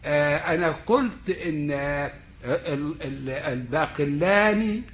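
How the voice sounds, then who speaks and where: Egyptian lecturer over a tinny call line. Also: male voice, on the high side. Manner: slow, deliberate, stretches words and restarts phrases. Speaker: university lecturer. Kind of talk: online lecture on rhetoric. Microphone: computer mic on a video call. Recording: tinny phone-like call audio.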